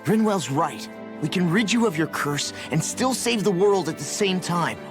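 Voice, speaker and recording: A man's voice: sits high, animated, moderate pace, over music. An actor playing an earnest, upbeat young male fighter companion in a video game cutscene. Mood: encouraging. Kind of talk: dramatic game cutscene acting.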